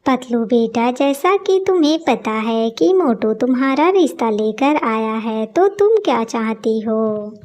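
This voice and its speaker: A woman acts out a caring middle-aged mother in a cartoon tale. She sounds gentle and reassuring, with a mellow tone and a slow pace. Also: a little high, lively intonation.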